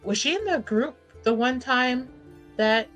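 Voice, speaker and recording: A woman's voice: high voice, lively intonation, measured pace, fairly bright tone, soft background music. A woman chatting casually as a player in a tabletop roleplaying game, informal and thinking aloud, with the odd swear word.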